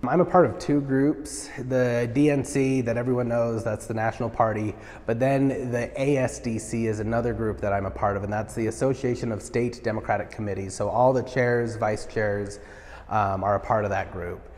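A man's voice: medium pitch, steady tone, moderate pace, a little mellow, long reverb tail. A man in his thirties, a party official, answering interview questions earnestly with many "uh" fillers, on a lapel mic in a marble stairwell. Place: large marble stairwell indoors.

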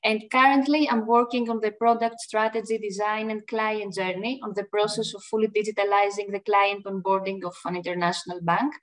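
A woman's voice: mid-range voice, little pitch movement, a little fast, few pauses, recorded from a distance. A young woman from Greece with a Greek accent, introducing herself as a consultant on a webinar. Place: home office, video call.

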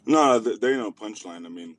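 A man's voice: on the low side, animated, a little fast. A man calling in to a radio show, arguing back in a laid-back way and telling the host to relax. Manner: laid-back, keeps saying relax, laughs off insults. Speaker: caller. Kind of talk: call-in argument.

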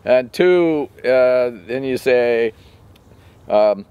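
A man's voice: medium pitch, lively intonation, drawn-out words, clear, resonant tone, dry recording, highs cut off. An older man, a mushroom club member, chatting casually on a forest walk in a rambling way, recorded outdoors by a nearby camera mic. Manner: rambling, chatty, acts out calls in a raised voice.

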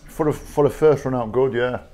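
A middle-aged man with an English accent, a rugby coach answering post-match press questions in a measured, halting way with many fillers. He sits at a table in a small press room. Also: mid-range voice, a little mellow. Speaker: rugby league head coach. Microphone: table microphone.

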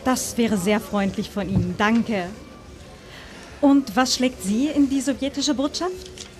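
A sociable Soviet wife on holiday, played by a woman in a live radio play. Her voice is fairly high and she chats politely over faint music. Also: slow.

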